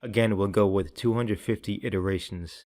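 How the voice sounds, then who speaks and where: Man narrating a scripted software tutorial. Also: on the low side, steady tone, normal speed.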